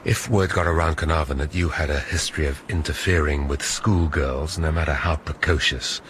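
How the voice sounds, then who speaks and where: An actor playing a shrewd police inspector questioning a suspect with dry irony. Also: male voice, rumbling low, steady tone, normal speed, a bit bright, slight background noise. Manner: dry, probing.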